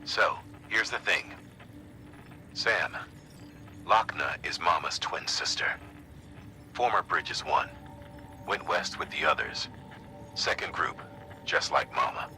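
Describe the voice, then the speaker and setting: A man's voice: low voice, slow pace, long pauses, pushed and bright, soft background music, heavily acted. An actor playing a grave, informal male commander giving a matter-of-fact briefing in a cinematic video game. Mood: grave, explanatory.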